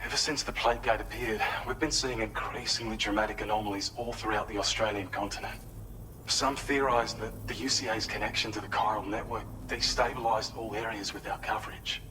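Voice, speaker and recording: An actor playing an earnest, helpful male researcher in a video game, giving a polite, measured, explanatory briefing. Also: lowish voice, steady tone, background noise, natural voice. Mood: earnest, businesslike.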